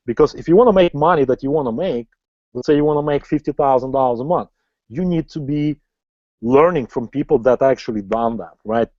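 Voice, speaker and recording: A man's voice: medium pitch, brisk, dark tone, no echo. A man in his forties who is not a native English speaker, giving a motivational sales webinar as an online marketing coach and often ending lines with "right?". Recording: slightly dull lo-fi audio.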